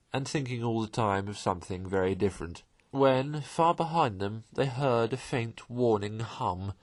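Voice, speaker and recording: Man, low voice, storybook read-aloud, measured, expressive. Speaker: audiobook reader.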